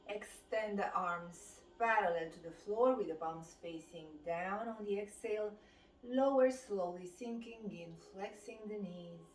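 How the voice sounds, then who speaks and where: A woman with a Romanian accent leads a qigong session, giving slow, calm cues that follow the breath. Her voice sits at a middle pitch and comes from some distance off the mic, with a roomy echo around it. A faint hum of ambience sits underneath. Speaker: fitness instructor. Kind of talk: guided qigong class instruction.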